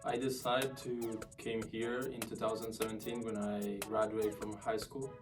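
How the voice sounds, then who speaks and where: A man in his twenties from Costa Rica with a Costa Rican Spanish accent, speaking English as a second language with halting fillers in a documentary interview. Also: on the low side, flat delivery. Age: 20s. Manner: non-native grammar with hesitant fillers. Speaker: university soccer goalkeeper. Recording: distant mic with music underneath.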